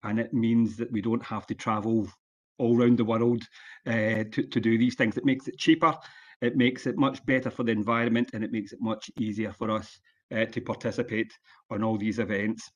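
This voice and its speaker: A Scottish man in his sixties giving an online conference lecture as a guest speaker, plain-spoken and relaxed, with frequent ums and uhs. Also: on the low side, moderate pace. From Scotland. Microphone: video call.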